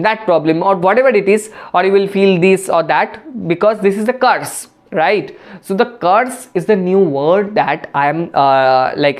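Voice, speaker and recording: A woman's voice: on the low side, a little mellow. Indian woman teaching spoken English. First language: Bengali. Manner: explains with many restarts and "you know" fillers.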